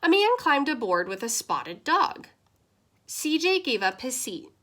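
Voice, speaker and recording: A woman teacher reading a children's picture book aloud with expressive, lively storytelling. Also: sits high, unhurried.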